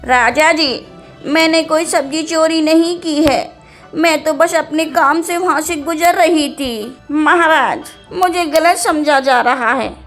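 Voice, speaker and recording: A woman's voice: high voice, takes it slowly, fairly bright tone, soft background music. A woman voicing a defensive old village woman in a Hindi comedy cartoon, earnest and protesting. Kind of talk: Hindi animated comedy acting.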